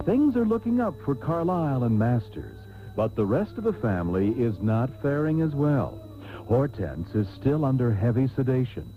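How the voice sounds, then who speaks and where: A man's voice: mid-range voice, lightly acted. An actor playing a pompous male serial announcer who swoops dramatically through every phrase. He is grandiose and mock-serious, with music behind him on a tinny, narrow-band old radio tape. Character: pompous, melodramatic male soap opera host.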